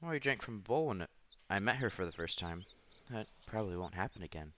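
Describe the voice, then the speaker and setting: A man's voice: on the low side, lively intonation, fast talker, fairly bright tone, slight background noise. A man streaming a visual novel, reading the story text aloud with casual asides and the odd stumble. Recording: dull lo-fi sound with game music behind. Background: visual novel background music.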